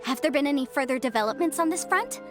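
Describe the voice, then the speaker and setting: An actress playing a young, confident legal advisor in a video game, speaking in a polite, precise, articulate way. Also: female voice, sits high, animated, quick pace. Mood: friendly, polite. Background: game music.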